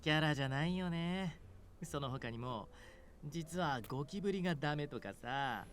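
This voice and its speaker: A man's voice: fairly high pitch, fast, fairly bright tone, clean tone, lightly acted. A voice actor playing an easygoing, teasing demon king in a Japanese visual novel, with a breezy, casual manner. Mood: casual, friendly.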